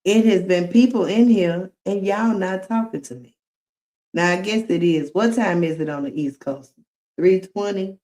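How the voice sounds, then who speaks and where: A woman's voice: mid-range voice, dark tone. A woman livestreaming, giving casual commentary and talking straight to her viewers. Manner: slow, drawn-out talk, addressing viewers directly.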